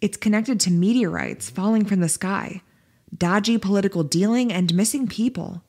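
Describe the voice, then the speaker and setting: A woman's voice: mid-range voice, very animated, moderate pace, darkish tone, husky. A woman playing a determined, suspicious investigator who speaks insistently, in an amateur audio drama.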